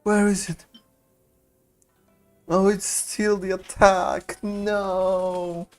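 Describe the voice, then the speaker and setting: A man's voice: sits high, level tone, very slow. A man doing casual gaming commentary, slangy and musing aloud. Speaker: gaming streamer. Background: game music.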